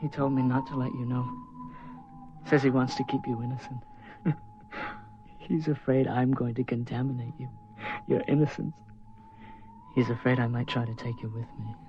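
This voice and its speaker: Actor as a tormented, menacing young fugitive. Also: male voice, mid-range voice, level tone, moderate pace, long pauses, highs cut off, lightly acted. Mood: bitter, secretive. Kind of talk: dramatic radio horror acting. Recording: band-limited radio drama with music underneath.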